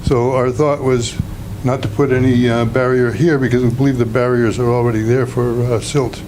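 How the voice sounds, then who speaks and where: A man with a naturally loud voice speaks to a town commission about his home project. He talks plainly, stopping often to gather his words. The sound is a noisy meeting-room recording. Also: medium pitch, flat delivery. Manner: plainspoken, halting with fillers. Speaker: homeowner applicant. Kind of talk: presenting a project at a public meeting.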